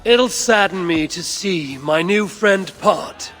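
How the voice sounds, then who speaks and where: An actor playing a blunt, hot-headed male Viking warrior in a video game cutscene, husky and dryly terse. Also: high-pitched, slow pace, a bit bright, soft background music. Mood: reciting.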